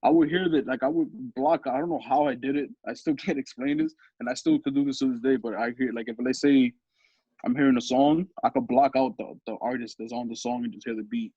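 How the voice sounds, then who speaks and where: Young male guest, deep husky voice, laid-back rambling talk, dull recording. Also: fairly quick, dry recording. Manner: relaxed, rambling with restarts and laughs. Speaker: interview guest, musician. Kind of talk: casual interview talk.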